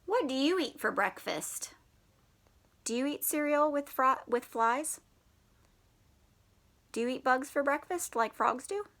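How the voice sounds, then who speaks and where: A woman reading a children's picture book aloud like a teacher, expressive with drawn-out words and playful questions to her young listeners. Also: high-pitched. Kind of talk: animated storybook read-aloud with questions to children.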